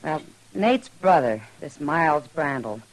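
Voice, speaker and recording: A worldly American saloon woman, weary but loyal. She speaks in a frank, measured way. The acting is that of a 1950s radio western. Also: low-pitched, animated, low-quality recording.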